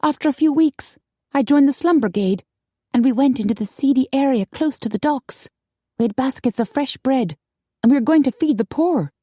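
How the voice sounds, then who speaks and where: Audiobook casting: woman performer as sweet, wide-eyed young vampire woman, high girlish voice, emotional, very dry sound. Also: expressive, normal speed, dark tone, breathy edge, no echo. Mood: tipsy, confiding. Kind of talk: audiobook character acting.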